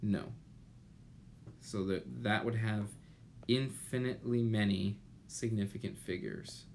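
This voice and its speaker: A man in his thirties giving an explanatory lecture as an instructor, deliberate and pausing, with rhetorical questions. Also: lowish voice, vocal fry. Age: 30s. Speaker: chemistry instructor. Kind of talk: explanatory lecture over slides. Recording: noticeable steady background noise.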